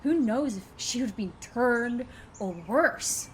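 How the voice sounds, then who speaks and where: An actor playing a sober female monster hunter with a husky, mellow voice, telling events matter-of-factly in an audio drama. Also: a little high, expressive, slow, a little hiss or hum. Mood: sober, grim.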